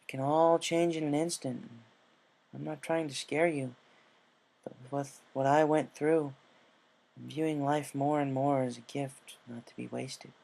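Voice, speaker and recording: A man plays a young storybook pegasus mare with a slightly raised, creaky voice. She is brave and tender, a soft-spoken, earnest big-sister mentor. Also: a little high, steady tone, measured pace, rough recording. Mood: earnest, encouraging.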